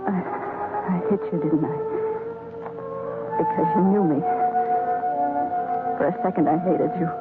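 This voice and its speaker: Swooping, desperate femme fatale, old radio. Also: female voice, fairly low pitch, wildly swooping pitch, slow, pauses often, loud music behind the voice, exaggerated voice. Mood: pleading, seductive. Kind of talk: 1940s radio melodrama acting. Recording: tinny, noisy vintage radio recording.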